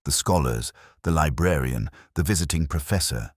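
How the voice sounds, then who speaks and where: A man narrating a scripted first-person detective story in a measured, literary, brooding manner. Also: extremely low pitch, husky, no echo, studio quality.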